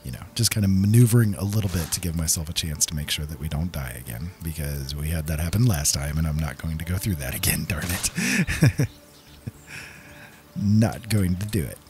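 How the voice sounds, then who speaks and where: A man's voice: a bass voice, lively intonation, normal speed, darkish tone, faint music behind. A man giving casual, easygoing commentary on his own gameplay, talking to himself as he plays.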